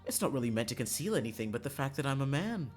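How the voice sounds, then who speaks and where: A man's voice: mid-range voice, very animated, quick pace, soft background music, lightly acted. A man putting on a coy, flirtatious dandy. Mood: playful, airy.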